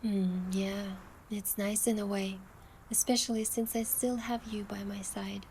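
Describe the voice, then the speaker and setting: A young woman gently narrating a romantic story in the first person as its heroine, in a soft, hushed voice. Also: mid-range voice, little pitch movement, a little slow, slight background noise, poor audio.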